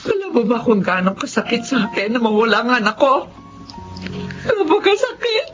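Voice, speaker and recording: A sorrowful older mother in a Filipino radio drama, pleading and forgiving. Her high voice moves widely up and down. Music plays clearly behind the dull recording. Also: very animated, brisk.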